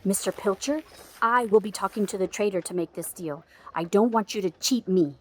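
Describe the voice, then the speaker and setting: A woman's voice: mid-range voice, normal speed, lightly acted. An actress playing a proud young married frontier woman in an audio drama, curt, formal and assertive. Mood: indignant, curt.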